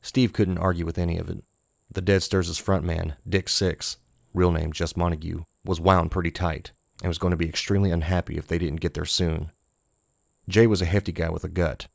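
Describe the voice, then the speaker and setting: A man's voice: low-pitched, level tone, moderate pace, vocal fry, dry recording, clean studio sound. A man narrating a scripted horror short story as an audiobook.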